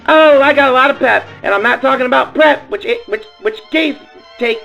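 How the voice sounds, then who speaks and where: Perky, naive schoolgirl played by a man, high-pitched and croaky, folksy and rambling, for a comedy radio play. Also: a little fast, sharp tone, faint music behind, full character voice, poor audio. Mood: eager, showy. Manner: giggly, rambling, folksy gosh-golly talk. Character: perky, naive, self-absorbed Southern small-town girl.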